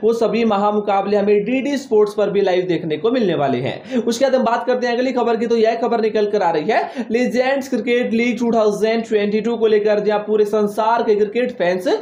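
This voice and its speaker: A young man presents a fast cricket news roundup, gesturing as he talks and stressing points with repeated affirmations. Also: sits high, roomy sound. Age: young adult. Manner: animated hand gestures, emphatic repetition of "जी हां". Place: indoor studio with green-screen stadium backdrop.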